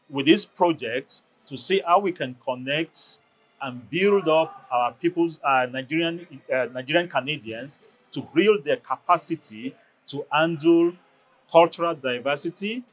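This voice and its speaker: A man explaining a community project in a measured, formal manner. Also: fairly high pitch, fairly bright tone, soft background music, poor audio. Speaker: project organizer.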